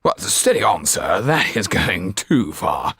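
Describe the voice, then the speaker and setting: A man voicing a blustering, pompous upper-class English gentleman in an audiobook story. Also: sits low, big pitch swings, slow, husky, no echo, clean studio sound, heavily acted. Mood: taken aback.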